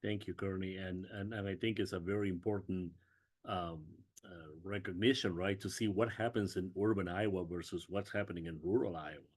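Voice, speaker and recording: A man's voice: deep voice, fairly even intonation, a little fast. A man from Peru moderating a web briefing over a video call, courteous and thanking each speaker. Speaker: committee chair moderating.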